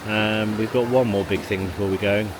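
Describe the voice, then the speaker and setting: Low-voiced man vlogging casually. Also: steady tone, a little fast. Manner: rambling, chatty, chuckles between remarks. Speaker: family vlogger. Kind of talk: casual vlog chat to camera. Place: outdoors in a car park, then at a leisure pool. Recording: handheld vlog with loud background noise.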